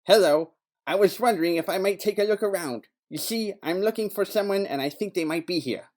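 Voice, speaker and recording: An actor playing a quirky, earnest detective who narrates an audio play in matter-of-fact, nerdy game jargon. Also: male voice, sits high, fairly quick, a little mellow, natural voice. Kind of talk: scripted first-person audio play narration.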